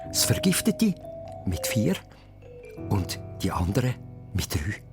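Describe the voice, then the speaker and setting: Animated Swiss-accented man narrating suspensefully. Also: fairly low pitch, slow pace, gravelly, music in the background. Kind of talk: scripted narration for a children's radio play.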